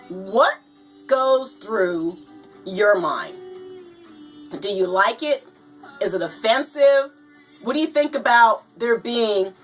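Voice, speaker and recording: A woman hosting a video show, slow and halting, a little hoarse from a cold, talking to viewers and reading aloud. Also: a little high, a bit bright, rough recording. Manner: slow, halting, says "you know" and "now". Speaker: host.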